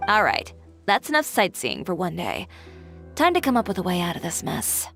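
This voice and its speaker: A woman's voice: fairly low pitch, wildly swooping pitch, normal speed, creaky, heavily acted. A woman voicing a confident, stubborn young fashion designer who talks in a cool, wry, self-assured way. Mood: determined. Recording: game audio over soft music.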